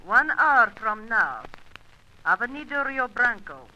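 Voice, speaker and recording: A performer playing a guarded, mercenary South American woman informant on the telephone in a radio spy drama, curt and hurried. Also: medium pitch, very animated, pushed and bright, dull audio, full character voice. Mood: brisk. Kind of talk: radio spy adventure acting.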